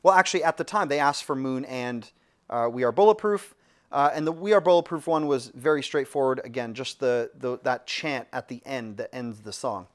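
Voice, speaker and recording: A man's voice: medium pitch, normal speed. A man, a music producer, casually telling a studio story with lots of fillers and restarts. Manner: relaxed, rambling with many fillers and restarts.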